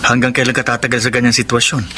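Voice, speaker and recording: An actor playing the hero's candid, curious male friend in a Filipino radio drama, animated and inquisitive. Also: mid-range voice, quick pace, noisy background, natural voice. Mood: curious, concerned.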